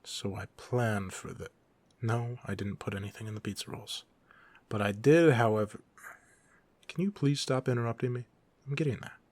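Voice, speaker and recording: A cocky young supervillain voiced by a man talks close and dry, with a creaky, gravelly edge. He is smug and teasing in a casual, conversational way, with a soft heart underneath, as in an intimate roleplay audio drama. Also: medium pitch, no room sound. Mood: mock-offended, persuasive.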